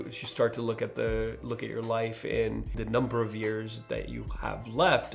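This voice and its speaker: Gravelly-voiced man in his thirties. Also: medium pitch. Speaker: host, new father. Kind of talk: reflective personal monologue.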